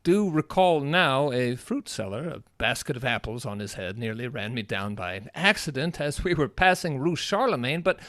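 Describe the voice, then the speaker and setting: An actor playing an astonished gentleman, a detective's companion, in a radio drama with a theatrical, emphatic delivery. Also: medium pitch, very animated, normal speed, few pauses, dry recording, clean studio sound. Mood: puzzled. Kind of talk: live radio drama acting.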